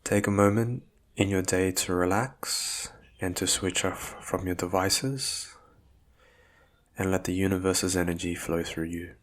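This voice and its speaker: A man guiding a breathing meditation as a physiotherapist, speaking in measured, soothing phrases with calm instruction. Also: deep voice.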